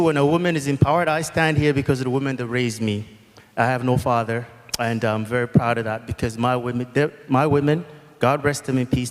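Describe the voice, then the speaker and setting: A man from Uganda, giving a passionate, outspoken, unplanned speech as a guest speaker at an event. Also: mid-range voice. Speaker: guest speaker, foundation founder. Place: convention hall. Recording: long hall reverb.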